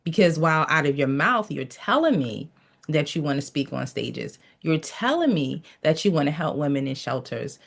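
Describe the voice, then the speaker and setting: A woman with a fairly low voice leads a motivational coaching session. She talks in a preachy, repeating rhythm and keeps telling her listeners to write key lines down. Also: gravelly. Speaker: mindset coach.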